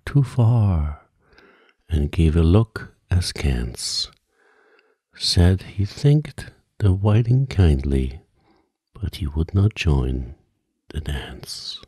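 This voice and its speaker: Male bedtime storyteller, soothing and gentle, with a husky, mellow, hushed delivery. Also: deep voice, expressive, dry recording, clean studio sound. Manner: gentle, deliberate, hushed at phrase ends. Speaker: storyteller reading an audiobook.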